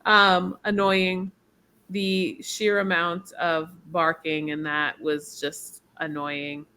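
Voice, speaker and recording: A woman co-hosting a wrestling podcast, giving measured, thoughtful opinions with frequent ums. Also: lowish voice, flat delivery, sharp tone, clean tone. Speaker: podcast co-host. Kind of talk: casual podcast chat.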